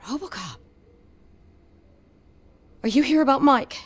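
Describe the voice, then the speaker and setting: Game part: distraught, distrustful wife, highish voice swinging widely, emphatic, music behind. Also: female voice, lively intonation, takes it slowly, a little hiss or hum. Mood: anxious. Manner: agitated, emphatic. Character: anxious, sharp-tongued wife of a missing man.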